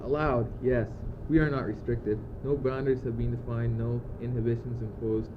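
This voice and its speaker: A man's voice: medium pitch, level tone, moderate pace, close to an everyday voice. A teenage male student actor playing a cool-headed, philosophizing young courtier in an amateur radio play, with a declamatory, argumentative delivery. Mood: reasoning coolly. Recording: muffled phone-like old tape, loud noise.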